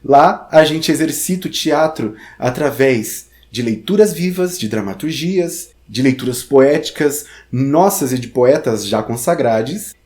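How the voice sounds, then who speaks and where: A man's voice: medium pitch, animated, unhurried, few pauses, a little mellow. A Brazilian man giving an informal tutorial, talking straight to the viewer in a theatrical, conversational way. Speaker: theatre teacher and podcast host.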